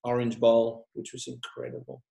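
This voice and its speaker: Older man, animated coach in echoey room. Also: medium pitch, moderate pace, vocal fry. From Australia. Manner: animated storytelling with frequent laughs. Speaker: tennis coach, guest. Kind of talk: reminiscing conversation.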